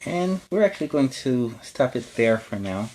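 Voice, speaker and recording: A man's voice: medium pitch, sing-song, moderate pace, darkish tone, a little hiss or hum, low-quality recording. A man hosting a children's story time, narrating a storybook with chatty asides. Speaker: children's story time host in superhero character.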